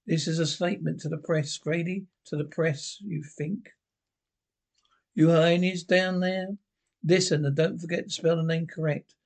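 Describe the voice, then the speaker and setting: A man's voice: a little high, steady tone, normal speed, creaky. A man voicing a bitter, boastful male ex-jockey who snarls and talks defensively. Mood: bitter sneering.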